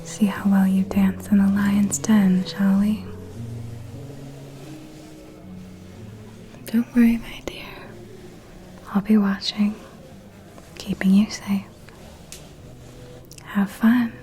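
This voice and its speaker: A woman playing a refined, protective vampire mentor in an ASMR roleplay, confiding discreet gossip in a soft, measured whisper. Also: medium pitch, flat delivery, close to an everyday voice. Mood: protective, teasing.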